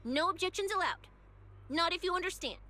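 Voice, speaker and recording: A woman's voice: very high-pitched, expressive, brisk, pushed and bright, soft background music, exaggerated voice. A woman voicing a cocky, teasing young snake-woman case specialist who talks in a playful, bossy way, in a game dub.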